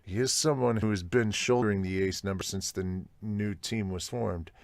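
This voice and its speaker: A man with a lowish voice talks as a podcast co-host in a casual, blunt way. He is excitable and freely profane, and the recording is close and dry, with hardly any room sound. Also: moderate pace, vocal fry, no echo. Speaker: podcast co-host reviewing manga. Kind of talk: casual reaction chat with comments read aloud.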